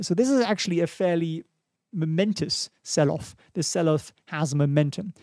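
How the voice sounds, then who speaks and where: German guy chatting through stock charts live, kinda high voice, dry close mic. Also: warm tone, dry recording. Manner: chatty, thinks aloud, reads viewer questions. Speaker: stock market livestream host. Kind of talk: casual live market commentary. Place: small home studio, indoors. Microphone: studio mic on boom in front of face.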